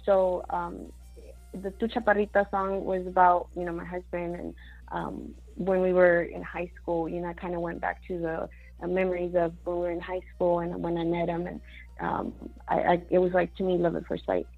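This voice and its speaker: Low, gravelly woman chatting about her music. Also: moderate pace, narrow, tinny audio. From Mexico. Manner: chatty, many fillers, mixes in Spanish words. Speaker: singer-songwriter guest. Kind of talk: casual interview chat.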